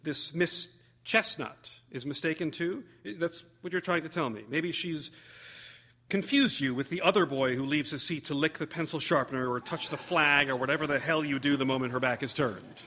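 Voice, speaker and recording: A man's voice: a little high, normal speed, pushed and bright, a little hiss or hum. A man voicing a brash, exasperated mother in a story reading, sardonic and salty in manner. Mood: accusing. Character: brash, sarcastic, exasperated chain-smoking mother.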